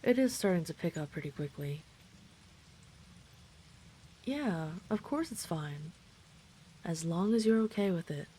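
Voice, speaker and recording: A woman playing a gentle, caring dragon friend in an intimate roleplay audio drama, speaking in a reassuring, considerate, slightly hesitant way. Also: on the low side, expressive, unhurried, pauses often, a little hiss or hum.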